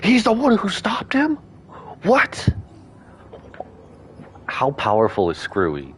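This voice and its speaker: A man streaming his reaction to a game, talking casually with excitable exclamations. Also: high voice, takes it slowly, a little hiss or hum. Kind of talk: casual livestream reaction commentary.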